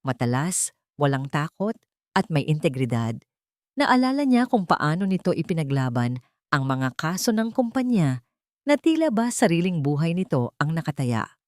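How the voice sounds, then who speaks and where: Expressive lower-pitched woman narrator, Filipino accent. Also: normal speed, no room sound, studio quality. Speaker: story narrator. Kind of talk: dramatic scripted narration of a Tagalog melodrama.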